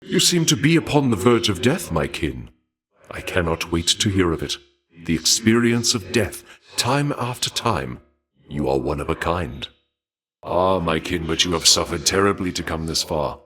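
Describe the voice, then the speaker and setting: An actor playing a courtly god of war in a video game, speaking formally and with measured, suave menace and a taste for bloodshed. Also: male voice, low-pitched, animated, a bit bright. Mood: suave, morbid relish. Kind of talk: theatrical video game character dialogue.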